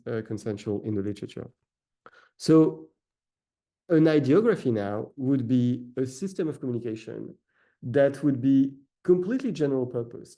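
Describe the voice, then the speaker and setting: French guy lecturing, lots of uhs and restarts, very dark deep tone. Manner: frequent "uh" fillers and self-corrections. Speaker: researcher giving a talk. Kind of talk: academic lecture.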